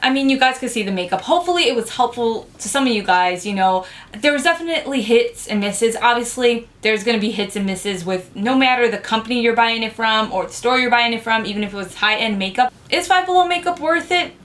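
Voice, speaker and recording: A woman's voice: on the high side, lively intonation, brisk, fairly bright tone. A young woman chatting frankly to camera as she reviews cheap makeup, with lots of "like" fillers. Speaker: beauty vlogger.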